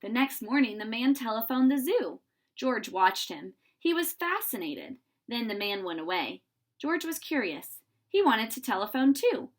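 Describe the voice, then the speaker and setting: A woman reading a children's story aloud in an animated, dramatizing way, with pauses for suspense. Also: a little high.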